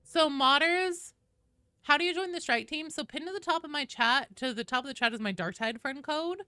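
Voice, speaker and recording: A woman's voice: high-pitched, normal speed, pushed and bright, dry recording, studio quality. A woman hosting a casual game livestream, chatting informally and explaining things at length as she talks directly to viewers. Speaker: video game streamer, host.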